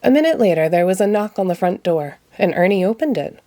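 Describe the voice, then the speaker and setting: Animated, mellow woman reading a storybook. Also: mid-range voice, quick pace, dry recording. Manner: expressive storytelling. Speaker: storybook reader. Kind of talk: children's storybook read-aloud.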